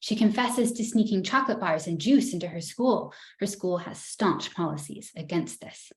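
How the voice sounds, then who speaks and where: A woman researcher giving a webinar talk, reading a scripted presentation in a measured, storytelling way. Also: fairly low pitch, lively intonation, echoey room. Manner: measured, storytelling delivery with occasional light laughs.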